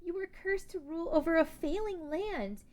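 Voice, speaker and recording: High-voiced woman reading a resolute young princess. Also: moderate pace, a little mellow. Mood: compassionate. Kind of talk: table read of a fan script.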